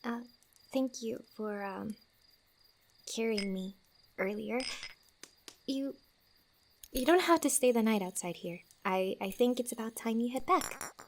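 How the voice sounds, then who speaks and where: A high, girlish-pitched young fantasy wanderer, acted by a woman for an ASMR roleplay. She takes her time with each phrase, teasing and playful yet a little guarded, often halting mid-thought. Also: lively intonation, a little hiss or hum. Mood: soft, grateful.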